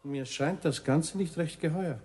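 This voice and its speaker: A man's voice: a little high, unhurried, dark tone, natural voice. An actor playing a formal, skeptical male householder in a children's radio play. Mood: suspicious. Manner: stiff, skeptical. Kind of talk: 1970s German children's radio play acting.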